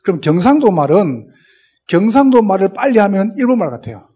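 경상도 사투리의 유쾌한 남자 목사. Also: male voice, high voice, very animated, brisk, dark tone, breathy edge. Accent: Gyeongsang Korean. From Gyeongsang, South Korea. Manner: storytelling, acts out dialogue, speeds up and laughs. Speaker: pastor. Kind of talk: humorous sermon with anecdotes.

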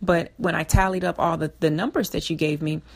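Low, gravelly, slightly hoarse woman host. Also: fairly even intonation, brisk. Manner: instructive, repeats key points. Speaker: financial advice show host. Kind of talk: radio advice talk.

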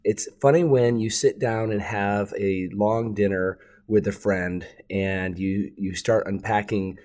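Male podcast host, lowish voice, scripted intro, then loose chat. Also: steady tone, measured pace. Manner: warm friendly host, hesitant with fillers when unscripted.

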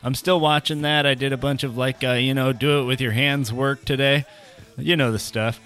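A man chats casually as a comedy podcast co-host. His delivery is halting and mock-indignant, at an ordinary mid pitch. Music plays behind him. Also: steady tone, a bit bright.

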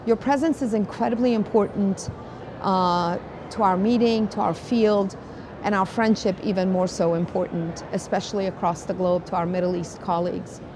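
Effusive woman interviewer over clear background noise. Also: medium pitch, fairly even intonation, normal speed. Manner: effusive, emphatic. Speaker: host, interventional cardiologist. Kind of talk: enthusiastic interview at a medical conference. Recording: clearly noisy conference background.

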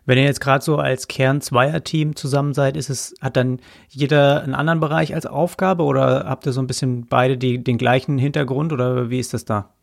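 Gravelly podcast host man. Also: medium pitch, fairly even intonation, fairly quick. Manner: rambling, loose phrasing. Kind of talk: casual podcast hosting.

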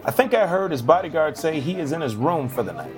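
A man's voice: a little high, very animated, fast. A man acting a friendly, courteous Civil War era bartender in a period drama, polite and inquisitive, with music underneath. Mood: curious, friendly.

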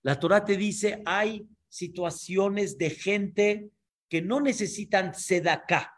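High-voiced man teaching a class. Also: slow. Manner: explanatory, checks understanding with frequent asides. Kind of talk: Torah class lecture.

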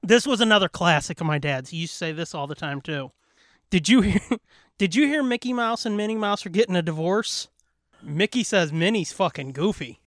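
High-voiced, crude male podcaster. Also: expressive, moderate pace, a bit bright, no room sound, clean studio sound. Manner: crude, swears freely. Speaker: podcast co-host. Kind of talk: casual, profane podcast banter and joke reading.

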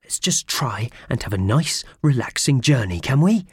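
A man's voice: mid-range voice, very animated, moderate pace, slightly rough, no echo, clean studio sound, pitched above the performer's own voice. A man voicing a flustered, easily panicked man in a children's storybook, fretful and spluttering. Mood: weary.

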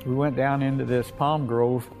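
An elderly man with an American accent, a war veteran telling his memories in a plain-spoken, halting way. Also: medium pitch, fairly even intonation, darkish tone, soft background music. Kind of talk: unscripted reminiscence.

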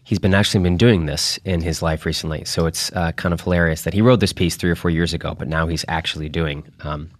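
A man hosting a podcast, introducing material in a casual, halting, informal way. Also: sits low, fairly even intonation, dry recording. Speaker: podcast host.

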